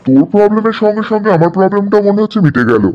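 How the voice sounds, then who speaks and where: A man's voice: high voice, animated, rapid-fire. A man voicing an eager, confident young bachelor in cartoon dialogue, on a muffled recording. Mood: intrigued. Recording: dull, muffled audio.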